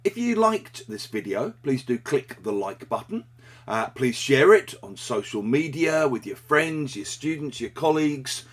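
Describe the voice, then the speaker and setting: Husky-voiced man teaching English. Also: mid-range voice, very animated, measured pace. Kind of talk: scripted explanatory lesson.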